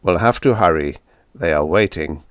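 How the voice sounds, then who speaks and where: A calm, blunt visitor from the future, read by a man in close to his own voice. The delivery is flat, firm and matter-of-fact. Dry, close sound. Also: sits low, normal speed, no echo.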